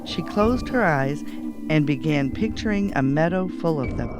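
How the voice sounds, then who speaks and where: Expressive higher-voiced man reading a storybook. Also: moderate pace, loud music behind the voice. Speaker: storybook reader. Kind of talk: animated read-aloud children's bedtime story.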